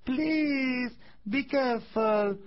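A man voicing a tiny, childlike living piece of wood in a small, squeaky, plaintive voice for a storybook. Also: extremely slow, highs cut off, heavily acted. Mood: gently begging.

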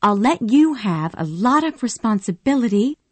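A woman voicing an impulsive, emotional young Southern woman in a storybook reading, speaking in a high pleading voice. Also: big pitch swings, normal speed, dry recording. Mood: eager. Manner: pleading and tearful, high and bright.

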